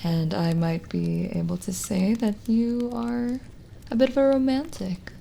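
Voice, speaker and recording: A woman playing a lonely, gentle, teasing witch reading palms in a soft-spoken, whispering ASMR roleplay. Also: medium pitch, steady tone, gravelly, slight background noise. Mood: tender, reassuring. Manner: slow, hesitant, intimate, teasing.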